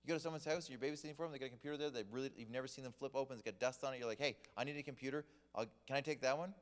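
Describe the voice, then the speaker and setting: A man's voice: medium pitch, fairly even intonation, rapid-fire. Joking man preaching in a hall. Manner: chatty, joking storyteller. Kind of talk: casual sermon on money. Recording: long reverb of a hall.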